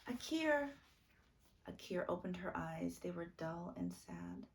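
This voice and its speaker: A woman reading a children's book aloud as a storytime narrator, expressive and deliberate. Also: lowish voice, creaky, slight background noise. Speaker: storytime reader.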